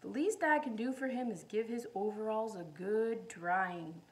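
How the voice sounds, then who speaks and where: A woman's voice: mid-range voice, a little slow, far from the mic, lightly acted, low-quality recording. A woman voicing a kindly, thoughtful male artist in a storybook, musing aloud with mild puzzlement.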